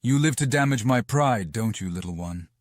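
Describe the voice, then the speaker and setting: A man's voice: medium pitch, expressive, normal speed, dry recording, clean studio sound, natural voice. Man acting a young commander big brother. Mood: teasing. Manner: teasing, smirking. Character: young male commander, playful teasing protective older brother. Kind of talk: audiobook character acting.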